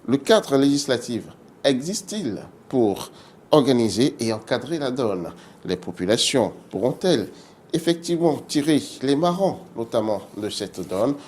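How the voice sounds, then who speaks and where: A man's voice: medium pitch, a little mellow. A man with a Beninese French accent moderating a studio interview as a television host. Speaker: TV show host. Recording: clear background noise.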